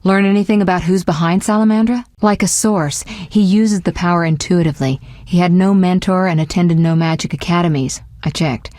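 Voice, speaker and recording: A composed fantasy game sorceress, played by a woman, speaks in a fairly low voice with very little room sound. She is articulate and measured, caring yet resolute, with a sharp wit and an earnest way of putting things. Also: no echo, clean studio sound, natural voice. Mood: confident, businesslike. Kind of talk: fantasy video game voice acting.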